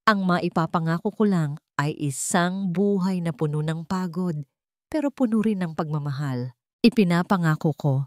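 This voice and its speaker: A woman's voice: lowish voice, dark tone, no room sound, studio quality, lightly acted. Woman voicing tender single dad. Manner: earnest, heartfelt vow-making. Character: gentle working-class single father, tender.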